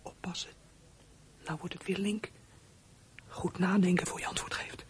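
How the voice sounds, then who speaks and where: An actor playing a troubled runaway boy in a Dutch radio play, with a husky voice and halting, guarded delivery. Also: mid-range voice, level tone, whispered, natural voice. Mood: brooding. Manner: hesitant, halting, guarded answers. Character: runaway boy, evasive, troubled, inward-looking. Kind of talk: Dutch radio play acting with inner monologue.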